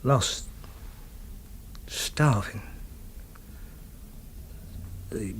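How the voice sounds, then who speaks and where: A former soldier in a radio drama, telling his war story with grim understatement. His voice is husky and rough-edged, and he proceeds haltingly, with dry, bitter wryness. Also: on the low side, heavily acted. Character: former soldier grimly recounting a wartime killing.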